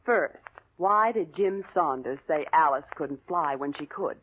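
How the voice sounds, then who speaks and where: A woman's voice: low-pitched, animated, a little slow, husky, heavily acted. An actress playing a detective's curious, sympathetic female companion, playful, in 1940s radio drama style on a tinny old recording.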